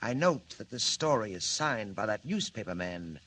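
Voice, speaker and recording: A smug villain mastermind, sneering in stiff, formal phrases with a lively, swooping pitch. Old radio drama acting over a faint hiss. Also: male voice, moderate pace. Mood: scheming. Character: scheming, mocking male villain mastermind.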